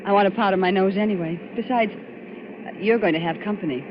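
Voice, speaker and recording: A woman's voice: medium pitch, fast, close to an everyday voice. An actress playing a nervous, easily spooked woman passenger in a 1940s radio drama. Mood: uneasy. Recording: dull, noisy vintage radio recording.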